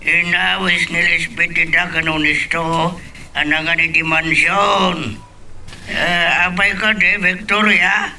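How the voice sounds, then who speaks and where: Father, Ilocano radio drama, high pitch, flat delivery, very slow. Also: vocal fry, slight background noise, heavily acted, low-quality recording. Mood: matter-of-fact. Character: father talking with his worried daughter.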